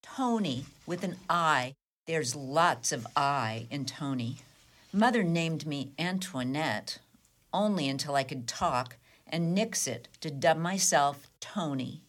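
Actress as sardonic, lower-voiced defiant woman. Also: animated, slow pace, full character voice. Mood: flippant, wry. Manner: wry, haughty, clipped retorts. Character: defiant, flippant, sardonic woman under police questioning. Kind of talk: comedic radio play dialogue.

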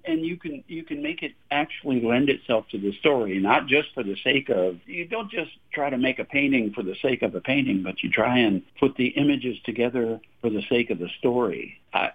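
A man's voice: mid-range voice, animated, a little fast, hardly any pauses. A singer-songwriter from Texas in his sixties, chatting as a radio interview guest, laughing often and repeating words as he starts his thoughts. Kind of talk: radio interview, casual storytelling. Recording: phone line, tinny.

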